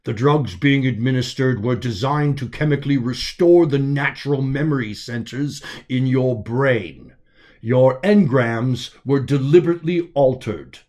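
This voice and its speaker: A man acts out a commanding sci-fi officer and mentor for an audiobook. He is measured and authoritative, with calm reassurance in the way he instructs. His pitch sits in a middle range. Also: close to an everyday voice. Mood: explaining. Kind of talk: dramatic audiobook character voice.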